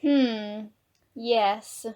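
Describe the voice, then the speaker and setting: A woman's voice: on the high side, very slow, warm tone, clean tone. A woman playing an earnest, formal news reporter giving an outside broadcast in a radio play. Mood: puzzled, curious.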